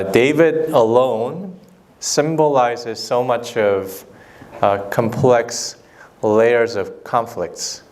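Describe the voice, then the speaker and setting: A young man whose first language is Korean, a documentary filmmaker answering student questions in a relaxed, thoughtful way on a handheld mic. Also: lowish voice. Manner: thoughtful, relaxed, slow deliberate phrasing. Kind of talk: casual Q&A with students. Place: school hall. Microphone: handheld microphone.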